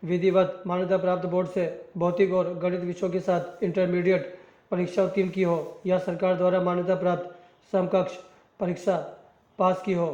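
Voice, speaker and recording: A man's voice: sits high, warm tone. A man presenting scripted informational narration, reading out details and addressing listeners directly, in the style of a job news video. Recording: boxy echoing room, dull lo-fi sound.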